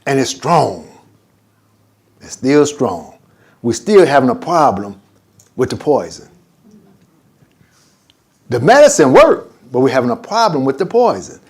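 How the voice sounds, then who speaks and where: A man's voice: medium pitch, a little slow, long pauses, slightly rough. An older man teaching an informal religious lesson as imam, wandering off topic and acting out conversations. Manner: animated and digressive, acts out conversations.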